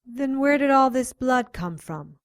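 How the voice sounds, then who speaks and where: A woman's voice: high voice, expressive, measured pace, no room sound, studio quality. A woman playing a detective's concerned, insistent companion in a radio drama. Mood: puzzled, probing.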